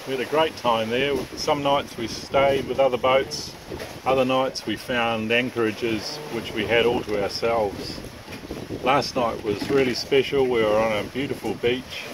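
Man talking on a sailboat deck. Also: medium pitch. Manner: relaxed, matter-of-fact, with "uh" pauses. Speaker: sailing vlogger. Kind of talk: casual travel narration. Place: open deck of a sailboat at sea. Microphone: camera held at arm's length. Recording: distant mic with wind and water noise. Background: boat and water noise.

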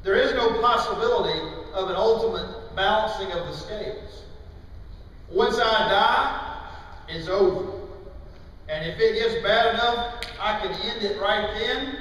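A middle-aged man preaching a sermon in a church, with emphatic, deliberate phrasing broken by pauses. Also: high voice, a bit bright. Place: large church auditorium, indoors. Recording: distant, very echoey hall with faint noise.

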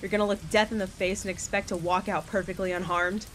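A woman's voice: mid-range voice, flat delivery, fast, over music, close to an everyday voice, poor audio. A woman playing an intense, defiant dramatic heroine with an emotional manner. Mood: bitter hurt.

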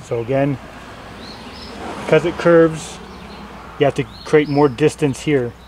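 A man's voice: on the high side, unhurried, darkish tone, slight background noise. Man explaining a DIY job outdoors. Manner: plain, loose, with fillers and self-corrections. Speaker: DIY instructor. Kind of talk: casual how-to explanation. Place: outdoors on a lawn.

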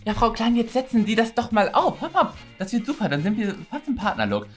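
Woman acting a silly, excitable carnival-crazy teacher. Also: a little high, fairly quick, soft background music. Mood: pushy, excited. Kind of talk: improvised comedy sketch acting.

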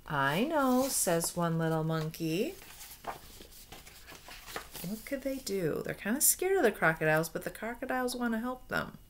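A woman's voice: mid-range voice, very animated, normal speed, long pauses, slight background noise. An American woman in her forties reading a children's picture book aloud expressively, with asides and questions to young listeners. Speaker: read-aloud storyteller. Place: small room at home.

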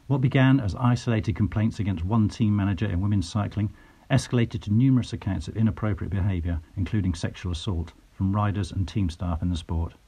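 A man reading a podcast introduction in a smooth, even scripted way. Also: lowish voice. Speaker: podcast announcer. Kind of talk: scripted announcement. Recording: dull, muffled recording.

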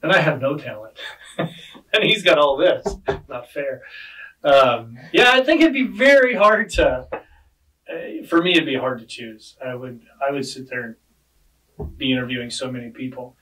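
A man's voice: medium pitch, measured pace, long pauses, bright, forceful tone, distant mic, low-quality recording. A middle-aged man hosting a podcast, asking questions in a friendly, chatty, self-deprecating way in a studio. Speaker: podcast host. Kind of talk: casual interview chat. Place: studio with dark padded walls. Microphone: podcast mic on a desk arm.

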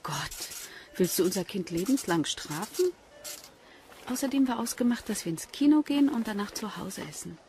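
A woman's voice: mid-range voice, animated, normal speed, a little mellow, a little hiss or hum, natural voice. An actress playing a playful, eager expectant mother in natural radio drama dialogue. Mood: teasing. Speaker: actress in a crime radio play.

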